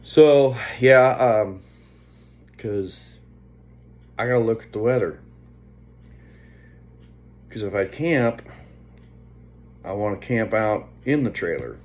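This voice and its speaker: A man in his fifties chatting casually to a phone at home, unhurried and meandering, often trailing off. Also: lowish voice, expressive, darkish tone. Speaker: vlogger. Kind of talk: casual rambling vlog chat. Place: indoors, small room at home. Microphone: phone at arm's length. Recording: faint background hum on phone recording.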